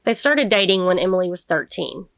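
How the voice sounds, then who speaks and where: A woman reading the part of a grieving, protective middle-aged father who testifies in court in a measured, sincere audiobook manner. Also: lowish voice, expressive, fairly bright tone. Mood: earnest.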